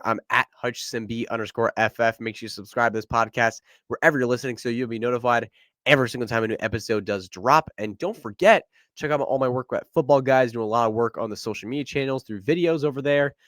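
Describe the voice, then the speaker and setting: A man's voice: mid-range voice, no echo, clean studio sound. Congested man hosting a sports podcast. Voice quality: nasal. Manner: energetic, conversational, quick asides. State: congested, stuffy nose. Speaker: podcast host. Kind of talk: solo sports podcast analysis.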